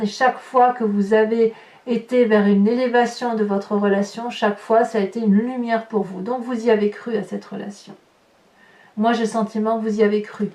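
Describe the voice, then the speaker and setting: A woman's voice: a little high, darkish tone, recorded from a distance. Woman reading tarot in an echoey room. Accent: French. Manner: searching, hesitant, repeats words while reading cards. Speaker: tarot reader.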